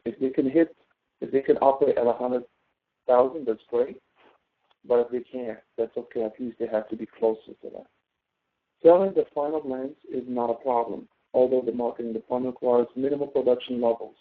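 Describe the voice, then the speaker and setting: A man teaching as a professor in an informal tutoring walkthrough, explaining step by step and often checking in with "okay?". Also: mid-range voice. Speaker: professor teaching an online class. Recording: thin phone-like audio.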